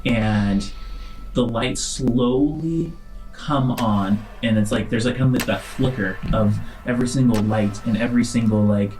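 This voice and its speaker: A man's voice: medium pitch, a little mellow. Man narrating a tabletop game over faint music. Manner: halting, descriptive, broken into short phrases. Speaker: game master.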